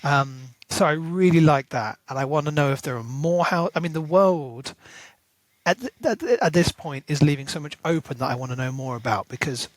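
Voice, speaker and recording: A man's voice: a little high, fairly quick, no room sound, rough recording. A man co-hosting a book podcast, chatting casually and enthusiastically, with plenty of ums and restarts. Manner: chatty, filler-heavy, enthusiastic. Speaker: podcast co-host.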